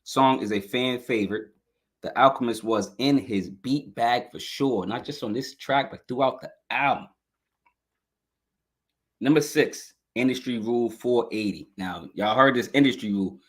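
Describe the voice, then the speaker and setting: A man's voice: mid-range voice, normal speed. A man hosting a podcast album review in a casual, hyped, slangy way, speaking straight to his viewers, his voice scratchy this morning. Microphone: live stream podcast mic.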